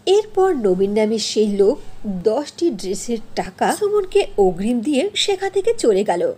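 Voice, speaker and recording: A woman's voice: fairly high pitch, big pitch swings, measured pace, mellow, husky. A woman narrator reading a scripted fairy tale for a cartoon.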